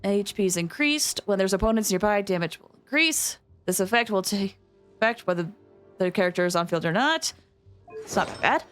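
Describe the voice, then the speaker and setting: A woman hosting a livestream, chatting casually with viewers in an expressive, emphatic way. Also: medium pitch, normal speed, soft background music. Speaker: livestream host.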